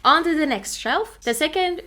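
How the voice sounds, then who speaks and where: A woman's voice: high voice, expressive, moderate pace, bright, forceful tone. A young woman from Finland with a Finnish accent, hosting a casual chat and often laughing at herself. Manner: chatty, self-deprecating, laughs often. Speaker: channel host.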